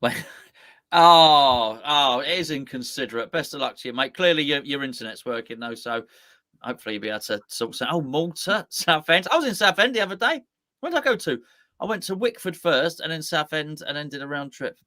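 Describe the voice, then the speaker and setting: A man with a bright, forward voice co-hosts a relaxed live football chat. He rambles, repeats and restarts his words as he thinks aloud. His voice sounds dry and close, with no room around it. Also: fairly high pitch, brisk, dry recording. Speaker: co-host. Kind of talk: casual live watch-along chat.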